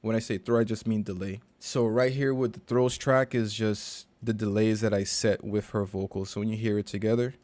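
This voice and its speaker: A man, a recording and mixing engineer, casually walking through a session in a relaxed, slangy, conversational way. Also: on the low side, moderate pace, hardly any pauses, a little hiss or hum. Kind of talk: casual screen-share walkthrough.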